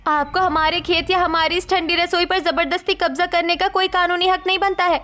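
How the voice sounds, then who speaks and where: A woman's voice: very high voice, steady tone, brisk, pushed and bright, faint music behind. A woman voicing an educated young village daughter-in-law in a cartoon story, firm and defiant. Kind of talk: Hindi moral-story cartoon voice acting.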